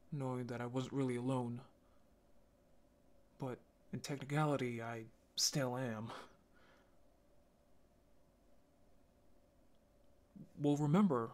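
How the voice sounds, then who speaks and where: A lonely young zombie man, acted by a man for an intimate audio roleplay. His voice sits at a middling pitch. He talks haltingly and apologetically, with stammers and sighs, in a gentle, hesitant manner. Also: close to an everyday voice. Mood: explaining, subdued.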